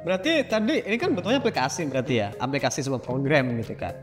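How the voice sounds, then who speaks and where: A man's voice: mid-range voice, lively intonation, fast talker. A man with an Indonesian accent hosting a podcast, interviewing casually in chatty, colloquial speech with frequent tag questions. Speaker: podcast host. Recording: very reverberant room, clear music underneath. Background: music.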